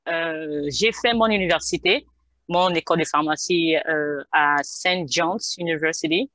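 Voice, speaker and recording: Bright-voiced woman from gabon on a headset call, lots of euhs, dry close sound. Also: low voice, a little slow, no room sound. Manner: hesitant, many filler sounds and repeats. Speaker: guest pharmacist. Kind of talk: interview answers. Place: home office with bookshelves. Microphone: headset on video call.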